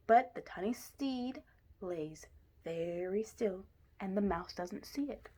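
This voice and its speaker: A woman reading a children's picture book aloud in an expressive way, with deliberate emphasis. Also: medium pitch, slightly rough. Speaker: story reader.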